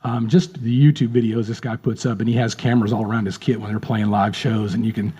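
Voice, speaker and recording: A man's voice: fairly low pitch, fairly even intonation, quick pace, warm tone, slightly rough. A drummer in his late fifties, chatting as a guest in a casual interview, friendly and rambling, often repeating words. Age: 50s.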